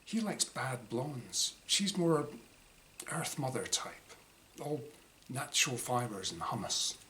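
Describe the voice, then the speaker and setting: A man reads a diary-style short story as its author, in a bright, forceful voice of medium pitch. He delivers it dramatically in clipped phrases with pauses. There is some noise behind him. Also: sing-song. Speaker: author reading his own short story. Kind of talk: dramatic first-person short story reading, diary entries. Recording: clearly noisy room ambience.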